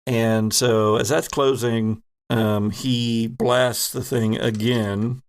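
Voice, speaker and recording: A man narrating an improvised tabletop game as game master, building descriptions in halting, pieced-together phrases. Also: medium pitch, level tone, clean tone.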